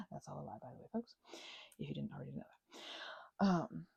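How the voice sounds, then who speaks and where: A woman's voice: on the low side, wildly swooping pitch, moderate pace, creaky, dark tone, husky, no echo. A woman in a casual, rambling craft ASMR chat, self-deprecating and playfully sarcastic, often dropping toward a whisper and wandering off on tangents as she talks to herself. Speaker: channel host.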